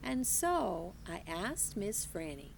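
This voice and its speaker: A woman teacher reading a children's novel aloud in an expressive, unhurried storytelling manner. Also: mid-range voice, creaky, slight background noise. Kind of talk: children's book read-aloud.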